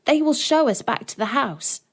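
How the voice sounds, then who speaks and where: A woman's voice: high voice, expressive, moderate pace, husky, voice pushed up, full character voice. A woman voicing a young boy, a brave and comforting big brother in a storybook, earnest and reassuring. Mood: hopeful. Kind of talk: storybook character voice.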